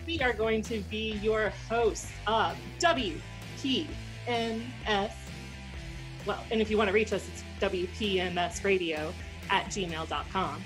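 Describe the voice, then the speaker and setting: American woman, thirties, snarky casual podcast banter, medium pitch, music in the background. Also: unhurried. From United States. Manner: snarky, wry. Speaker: podcast co-host, former wrestling announcer. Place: small room indoors. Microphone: desk microphone.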